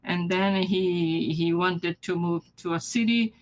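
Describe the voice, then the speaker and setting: An older woman whose first language is Japanese, from Brazil, recalling her childhood in an interview, halting and often restarting with soft laughs. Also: on the low side, steady tone, slight background noise, low-quality recording. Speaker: interviewee, retired mathematics professor. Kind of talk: recorded life-story interview.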